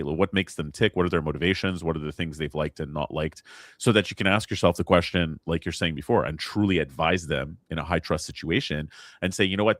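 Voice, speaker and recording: A man hosting a podcast interview, chatty and encouraging, quick to affirm his guest. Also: rumbling low, dry recording, clean studio sound. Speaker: podcast host. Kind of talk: casual interview chat.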